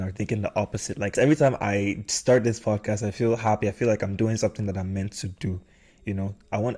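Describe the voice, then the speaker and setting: Low-voiced young man hosting a podcast. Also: warm tone. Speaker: podcast host. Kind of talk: casual podcast discussion.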